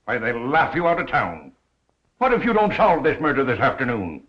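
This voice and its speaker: A man's voice: mid-range voice, huge pitch swings, normal speed. A man playing an anxious, emphatic newspaper boss in the style of 1930s film acting. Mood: exasperated. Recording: dull lo-fi 1930s film soundtrack.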